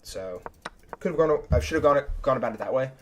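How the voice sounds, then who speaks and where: A young man, a chess streamer, talking casually and self-critically through his own game, his voice a little raspy. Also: mid-range voice, expressive, a little fast, a little hiss or hum. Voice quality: slightly raspy. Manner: chatty and self-critical, thinking aloud move by move. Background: faint background music.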